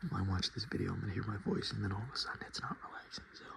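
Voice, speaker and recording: A man softly murmuring and whispering in a casual, rambling ASMR style as he hosts his video. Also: fairly low pitch, very fast, creaky. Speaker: ASMR video creator and host.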